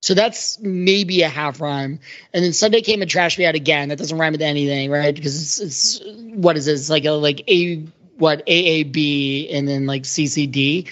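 A woman's voice: sits low, moderate pace, dull audio. A woman chatting casually as a podcast panelist, hedging her points in a conversational way. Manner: hedging, conversational, frequent 'like' and 'sort of'. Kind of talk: casual discussion, critiquing song lyrics.

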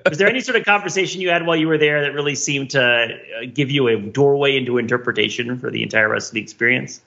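A middle-aged man co-hosting a podcast, talking analytically in wordy, deliberate phrases. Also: medium pitch, creaky, sharp tone, highs cut off. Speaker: podcast co-host. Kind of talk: analytical conversational discussion.

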